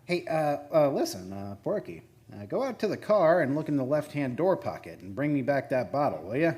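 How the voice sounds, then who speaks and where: A man's voice: medium pitch, very animated, brisk, a little mellow, close to an everyday voice. An American man playing a skinny, nervous, sheepish oil field geologist in a radio play, speaking hesitantly with stammers.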